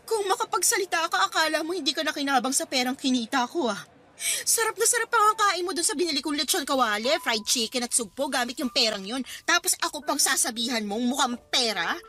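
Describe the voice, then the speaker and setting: A woman's voice: high voice, sharp tone. A woman playing a bitter, combative wife and mother in a radio drama, sarcastic and confrontational.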